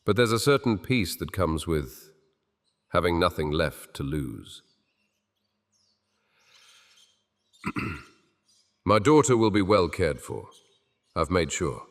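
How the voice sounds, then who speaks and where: An actor playing a grieving, desperate king in a dark fantasy audio drama, speaking in slow, solemn, measured threats. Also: sits low, very animated, heavily acted. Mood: sorrowful. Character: grieving cursed old king, grave and desperate. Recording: reverberant with soft music behind.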